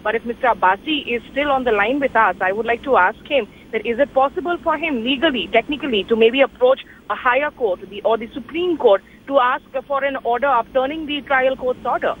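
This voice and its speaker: A tinny phone line with faint noise carries a woman's high voice. She has an Indian accent and speaks as a television correspondent, laying out analysis in long, explanatory sentences. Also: fairly quick, hardly any pauses. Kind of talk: live analytical news report.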